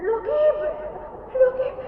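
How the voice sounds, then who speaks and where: A woman's voice: very high voice, a crowd in the background, full character voice. An actress playing an anguished, bitter young woman in an emotive, theatrical style of radio drama. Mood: panicked, weeping. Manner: emotive, dramatic, halting repetitions. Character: anguished, bitter young woman, disfigured, speaking to her former love. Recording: tinny, phone-like old radio drama recording, noisy.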